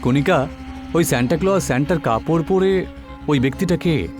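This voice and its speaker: A man voicing the indulgent father of a young girl in an animated story. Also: on the high side, normal speed, soft background music, close to an everyday voice. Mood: puzzled, worried.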